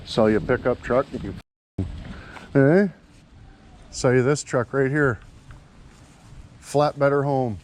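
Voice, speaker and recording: A Canadian man bantering casually and profanely in a joking sales-pitch way, as a vlog host. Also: slow pace, long pauses, a little mellow, a little hiss or hum. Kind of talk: casual banter, walkie-talkie chatter.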